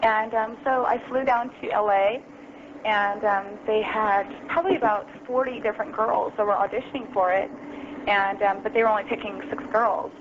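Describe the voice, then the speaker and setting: A woman's voice: mid-range voice, fairly even intonation, normal speed. A young adult woman telling her story as an interview guest over the phone, casual and full of ums and you-knows. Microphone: phone call. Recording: lo-fi phone line, noisy.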